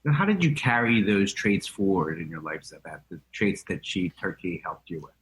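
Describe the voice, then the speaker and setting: A male co-host on a talk radio show speaks in a fairly low voice. He reads out the guest lineup and then presses the guest with earnest follow-up questions. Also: expressive, normal speed, fairly bright tone, rough recording. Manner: earnest, asks follow-ups. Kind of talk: reading the lineup and asking questions.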